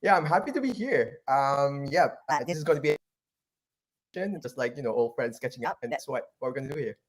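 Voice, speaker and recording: A man's voice: medium pitch, a little fast. A man in his thirties from Malaysia tells his career story in a loose, rambling way. He restarts sentences and leans on fillers as he thinks aloud. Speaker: guest, venture capital partner. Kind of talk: casual interview, life story.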